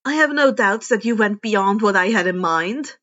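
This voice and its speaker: A woman's voice: mid-range voice, lively intonation, moderate pace, fairly bright tone, dull audio, natural voice. A woman voicing a polite, cheerful teenage boy student who is eager to please. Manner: friendly, polite.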